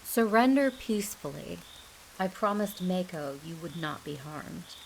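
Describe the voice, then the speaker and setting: A woman's voice: lowish voice, lively intonation, unhurried, vocal fry, slight background noise, rough recording. A woman playing a stern, commanding Jedi Master aunt in an audio drama, speaking formally and demandingly. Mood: stern, cold.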